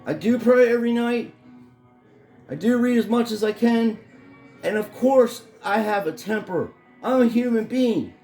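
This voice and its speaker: Hoarse, profane man ranting at home. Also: very high-pitched, measured pace, darkish tone, reverberant, faint music behind. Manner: angry, profane, rambling rant. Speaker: vlogger. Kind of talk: ranting vlog. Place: his home in Florida.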